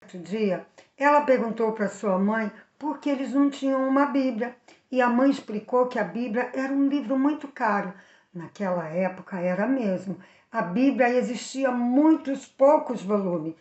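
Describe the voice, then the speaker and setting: A woman's voice: fairly high pitch, roomy sound, highs cut off. Brazilian woman telling a children's story. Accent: Brazilian Portuguese. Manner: animated, addresses the young viewers directly.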